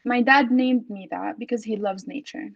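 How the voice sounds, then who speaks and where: A young woman from Jordan whose first language is Arabic, speaking warmly and gratefully at an open mic. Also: fairly high pitch, moderate pace, creaky, no room sound, highs cut off. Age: young adult. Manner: warm, giggly, grateful. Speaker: student speaker.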